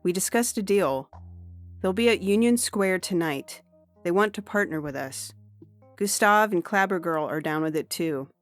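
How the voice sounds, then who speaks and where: A woman's voice: on the low side, expressive, moderate pace, close to an everyday voice. A woman playing a brusque, businesslike streamer with a hard, reproachful manner in an audio science fiction story. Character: brusque, businesslike streaming performer woman, scolding her partner. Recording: music bed under the voice. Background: music.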